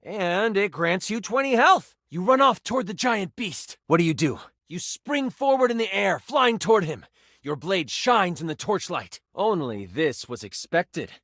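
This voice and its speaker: High-pitched, animated game master. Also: male voice, measured pace, dry recording, clean studio sound, heavily acted. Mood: dramatic, engaged. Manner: theatrical second-person storytelling with casual asides. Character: earnest, dramatic male tabletop game master, playful storyteller. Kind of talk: narrating a tabletop fantasy adventure in a video game.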